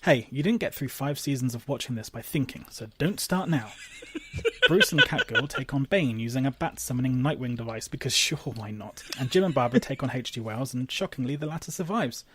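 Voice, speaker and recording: A man reading a scripted episode recap with sardonic, deadpan asides. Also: mid-range voice, fairly quick, background noise.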